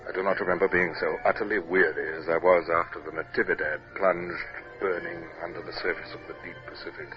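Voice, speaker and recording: An actor playing a weary, dutiful British naval captain in a 1950s radio drama, speaking formally. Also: male voice, low voice, close to an everyday voice. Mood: weary, reflective. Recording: noisy muffled old radio recording.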